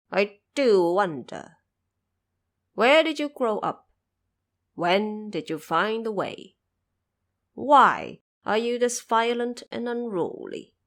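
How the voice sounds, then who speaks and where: A woman voicing a lofty male Buddha, solemn, measured and stern, in an audio drama. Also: mid-range voice, a bit bright, heavily acted. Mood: calm, questioning. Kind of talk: audio drama character acting.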